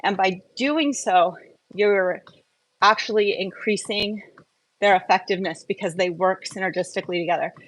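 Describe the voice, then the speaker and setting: A woman's voice: mid-range voice, normal speed, no room sound, low-quality recording. Conversational woman explaining things casually. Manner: conversational, explains step by step with rhetorical questions. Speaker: host of a wellness audio update. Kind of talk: casual explanatory solo talk.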